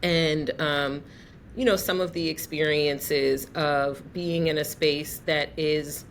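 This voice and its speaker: A woman hosting a casual interview, pausing often with "um" as she gathers her thoughts. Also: low-pitched, fairly even intonation, a little hiss or hum. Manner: warm-hearted, searching for words with many "um"s. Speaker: podcast host.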